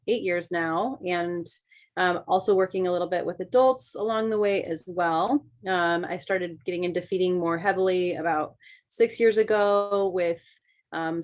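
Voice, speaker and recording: Woman therapy assistant on a video call, lowish voice, casual w lots of ums. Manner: casual, fillers like "and so", "um".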